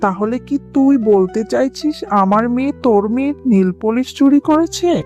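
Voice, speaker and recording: A woman's voice: high-pitched, animated, normal speed, darkish tone. A woman voicing a haughty, scolding tigress mother in a children's cartoon, with a scornful, accusing manner. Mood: scornful, angry. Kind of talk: children's animated fable acting. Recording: music under the voice.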